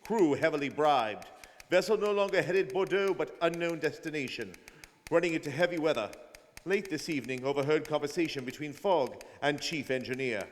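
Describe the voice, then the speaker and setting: A man's voice: a little high, normal speed, lightly acted. A man playing a stern, dogged detective who narrates a radio drama in an emphatic, clipped style. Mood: suspicious, reporting. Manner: emphatic, telegraphic. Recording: hall reverb, faint noise, community studio.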